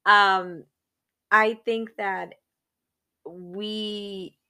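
A woman's voice: mid-range voice, fairly even intonation, extremely slow, pushed and bright, smooth. A woman in her thirties co-hosting a casual podcast chat, earnest and reflective, talking in long run-on thoughts. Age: 30s. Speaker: podcast co-host.

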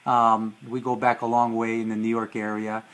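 A man hosting an interview in a loose, halting way, with a slight Hungarian accent and Hungarian as his first language. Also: fairly low pitch, little pitch movement, a bit bright, a little hiss or hum. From New Jersey. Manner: hesitant, many fillers and restarts. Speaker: podcast host. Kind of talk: casual interview.

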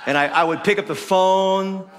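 A man in his forties, a pastor who grew up speaking Tagalog, preaching an animated, humorous sermon told as a personal story. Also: sits high, normal speed. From Philippines. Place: church auditorium. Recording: long hall reverb.

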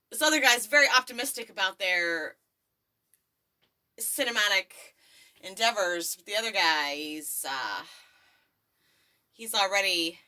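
A woman's voice: medium pitch, bright, forceful tone. A woman co-hosting a podcast, chatting casually and explaining the plot while watching a movie. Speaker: podcast co-host.

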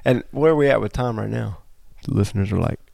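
Gravelly, congested podcast host. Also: male voice, fairly low pitch, fast talker, dark tone. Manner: loose, laughing, rambling. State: congested from allergies. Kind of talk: casual chat.

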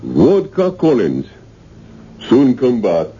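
Slow-talking sly Caribbean island man. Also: mid-range voice, huge pitch swings, dark tone, full character voice. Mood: genial host. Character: big, sly middle-aged island man, eerily genial. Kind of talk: 1970s radio drama acting. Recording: muffled, noisy 1970s radio recording.

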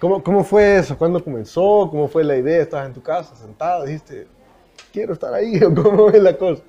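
A mellow-voiced Salvadoran man hosts a relaxed conversation, curious and casual in his questions. The recording sounds somewhat dull and lo-fi. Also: a little high, a little fast. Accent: Salvadoran Spanish. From San Salvador. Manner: curious, relaxed, uses local slang. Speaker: host.

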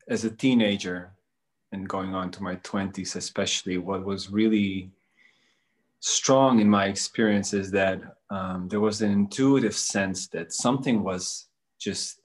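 Deep-voiced, hesitant spiritual coach. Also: male voice. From Bosnia. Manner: hesitant, musing, many ums. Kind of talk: reflective interview answers.

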